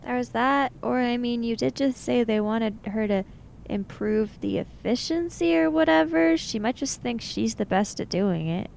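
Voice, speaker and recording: A woman's voice: a little high, unhurried, gravelly, a little mellow, slight background noise. A woman improvising in-character talk in a tabletop roleplaying game, with a questioning, skeptical manner.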